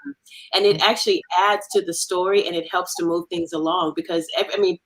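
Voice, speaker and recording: A woman's voice: fairly low pitch, steady tone, brisk, rough recording. A woman explaining her team's work as an interview guest, earnest and conversational. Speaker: creative team member being interviewed.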